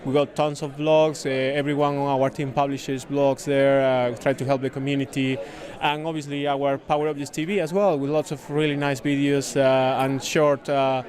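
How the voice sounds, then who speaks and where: A man from Spain with a Spanish accent, a native Spanish speaker, explaining a product in a casual interview with halting, repeated words. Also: medium pitch, flat delivery, a little mellow. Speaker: software consultant demonstrating a product, interviewee. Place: busy conference venue.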